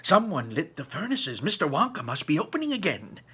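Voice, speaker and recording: A man's voice: a little high, wildly swooping pitch, fairly quick, bright, forceful tone, husky, heavily acted. A man voicing astonished, gossiping townspeople in a storybook, exclaiming in wonder. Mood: surprised.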